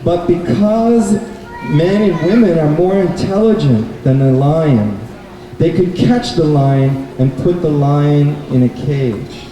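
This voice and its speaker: A man with a slightly high voice presents stories to children. He explains in a measured, teacherly way. He sounds distant in an echoey, noisy indoor space. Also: mellow. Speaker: puppet show presenter and storyteller. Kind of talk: live storytelling and talk to children. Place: indoor bookstore event.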